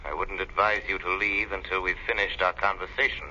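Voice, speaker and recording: An actor playing a menacing, taunting crime-fighting avenger in a 1930s radio drama. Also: male voice, deep voice, little pitch movement, pushed and bright, heavily acted. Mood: stern accusation. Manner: commanding, taunting, deliberate. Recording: muffled, hissy 1930s radio recording.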